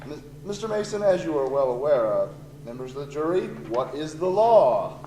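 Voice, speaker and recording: A man's voice: fairly high pitch, sing-song, normal speed, warm tone. A man playing a stern, pompous prosecuting lord in an old-time radio comedy. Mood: officious. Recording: echoey room, faint noise.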